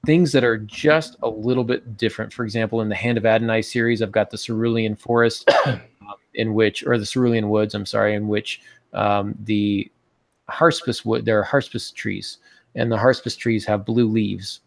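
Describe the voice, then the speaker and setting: A middle-aged man talks casually, like a host among fellow panelists. His voice sits low with plenty of fry in it, and the sound is close and dry. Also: steady tone, normal speed, dry recording. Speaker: podcast host and fiction writer. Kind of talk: casual panel discussion on writing. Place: small home office, indoors. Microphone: earbud headset on video call.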